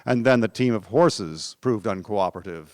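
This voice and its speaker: A man narrating a historical radio drama in a measured storytelling manner. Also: on the low side, dry recording, studio quality. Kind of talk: scripted documentary drama narration.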